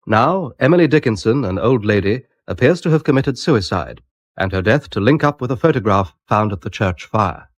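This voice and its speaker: A man's voice: lowish voice, dry recording. A man reading a scripted announcement as a radio announcer.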